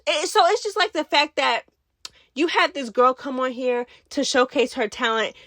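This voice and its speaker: A woman's voice: high-pitched, lively intonation, normal speed, a bit bright, dry recording, studio quality. A woman giving casual gossip commentary as a channel host, speaking in a sassy, emphatic, slangy way. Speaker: host of a reality TV recap channel.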